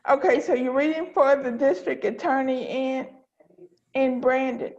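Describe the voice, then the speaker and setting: A woman's voice: high-pitched, fairly even intonation, unhurried, a little mellow. A woman hosting a table read in casual chat over a video call. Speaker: organizer and host of table read. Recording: dull lo-fi video call audio.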